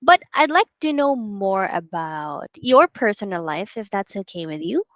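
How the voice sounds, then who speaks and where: A woman in her twenties hosting a casual interview, playful and quick to laugh as she asks questions. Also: mid-range voice, sing-song, no room sound, dull audio. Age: 20s. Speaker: podcast host.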